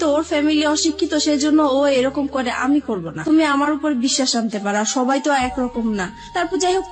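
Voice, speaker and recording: A Bangladeshi woman with a high-pitched voice tells a personal story in an interview. She narrates event by event, relaying past dialogue. Background music plays beneath her. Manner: recounts events with reported dialogue. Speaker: guest telling own life story.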